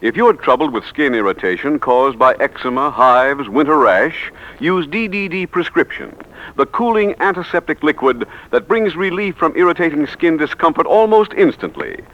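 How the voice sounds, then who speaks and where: A man's voice: on the high side, lively intonation, normal speed, a bit bright. A man announcing in scripted 1940s radio commercial style. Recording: dull vintage radio recording.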